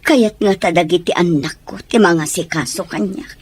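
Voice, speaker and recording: A woman's voice: on the low side, normal speed, darkish tone, slight background noise, close to an everyday voice. A woman playing an ailing, dependent middle-aged mother in an audio drama, speaking plaintively. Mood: worried, insistent. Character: ailing, dependent mother worried about dialysis. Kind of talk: Ilocano audio drama acting.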